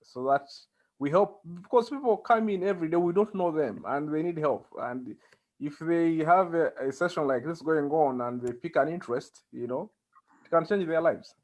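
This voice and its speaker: A man with a Ugandan accent giving conversational interview answers as a guest, with halting phrasing and frequent pauses. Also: on the high side, a little mellow. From central Uganda. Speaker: interview guest, community organizer.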